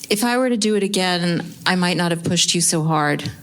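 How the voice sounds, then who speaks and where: An actress as a thoughtful, gently wry wife. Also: female voice, on the low side, normal speed, lightly acted. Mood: reflective. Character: thoughtful, gently teasing wife, regretful and softening. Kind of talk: live staged radio play acting.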